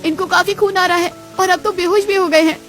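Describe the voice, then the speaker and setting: A woman playing a caring young village woman in a dramatized moral story, earnest, anxious and expressive. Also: very high voice, brisk, faint music behind. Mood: alarmed.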